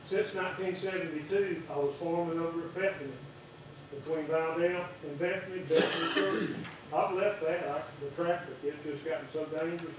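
Brief: older farmer, blunt and plainspoken, echoey room, far mic. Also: male voice, on the high side, noisy background. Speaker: public hearing speaker, farmer. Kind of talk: public comment at a meeting. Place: large public meeting room.

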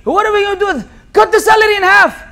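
A man with a strikingly high-pitched voice lectures from a table in a hall, speaking English with an American-sounding accent. He talks with lively energy and acts out the people he quotes. A small tabletop mic picks him up. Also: fast, vocal fry. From Lebanon. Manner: animated, gesturing with both hands, acts out quoted people. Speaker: Islamic lecturer. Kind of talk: public lecture. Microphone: small tabletop mic on a tripod.

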